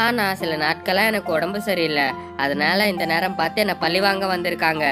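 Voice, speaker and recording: A humble young male parrot voiced by a woman speaks low, with deferential, eager politeness. It is cartoon storytelling with loud music underneath. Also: a little fast, natural voice. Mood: earnest, polite. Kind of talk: Tamil moral-story cartoon dub.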